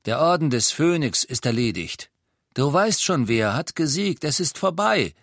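A man voicing a bitter old male tavern keeper who talks bluntly and scornfully, often slowly and with weight. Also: on the high side, big pitch swings, fairly bright tone, dry recording, clean studio sound, pitched above the performer's own voice, full character voice. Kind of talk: audiobook character voice.

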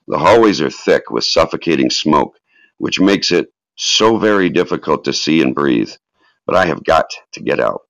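A man's voice: rumbling low, lively intonation, moderate pace, gravelly, a bit bright. A man hosting a live podcast, speaking in a solemn reading style or in casual talk. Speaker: host of a live podcast reading a tribute aloud. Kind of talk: solemn dramatic reading and casual call-in chat. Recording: echoey room, dull lo-fi sound.

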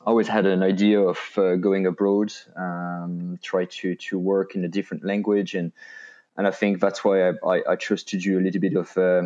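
A man from France speaks with a French accent in a very low voice, as a podcast guest telling his story. He stops and restarts often, searching for words. The sound is muffled and lo-fi. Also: level tone, normal speed, darkish tone. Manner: hesitant, many restarts and fillers. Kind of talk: casual interview talk. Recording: dull lo-fi sound.

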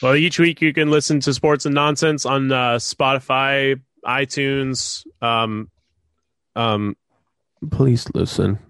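A man's voice: mid-range voice, fairly even intonation, slow, a bit bright, smooth, no echo, clean studio sound. A stuffed-up man hosting a casual sports podcast, rambling with lots of fillers. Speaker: podcast host.

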